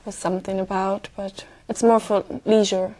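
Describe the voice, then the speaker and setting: A young woman speaks in a mellow, warm voice. She pauses often and fills the gaps with fillers and soft laughs as she searches for her words. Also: medium pitch, flat delivery. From Brazil. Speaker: exam candidate.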